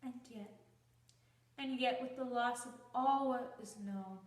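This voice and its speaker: A woman's voice: fairly high pitch, normal speed, lightly acted. A woman playing a young romantic wife in an earnest, declamatory Shakespearean-style stage soliloquy. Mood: devoted, resolving. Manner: declamatory, earnest, archaic phrasing. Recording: roomy, distant microphone.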